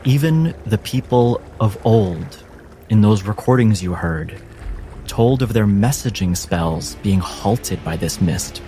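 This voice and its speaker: Slow, low-voiced male game master. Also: lively intonation, warm tone, slight background noise. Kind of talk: dramatic tabletop game narration. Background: background music and sound effects.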